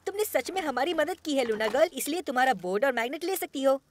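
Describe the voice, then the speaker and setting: A woman's voice: high-pitched, expressive, fast, noisy background. A woman voicing an eager, kind-hearted small boy superhero in a cartoon dub, earnest and spirited in manner. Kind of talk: animated cartoon dub acting. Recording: loud music under the voice.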